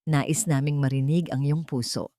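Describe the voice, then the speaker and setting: A woman narrates a dramatic audiobook story in a scripted style. Her voice sits on the low side. The sound is dry and close, free of room echo. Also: fairly even intonation, moderate pace, warm tone.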